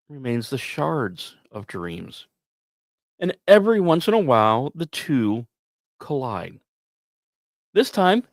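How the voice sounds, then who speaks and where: A man hosting a podcast, delivering scripted storytelling narration with dramatic emphasis and deliberate pauses. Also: fairly high pitch, dry recording, clean studio sound. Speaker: podcast host.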